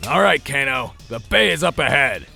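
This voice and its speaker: A man acting a gruff, streetwise criminal henchman in a comic dub. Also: mid-range voice, animated, moderate pace, sharp tone, faint music behind, full character voice. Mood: wary. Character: tough, wary male criminal henchman.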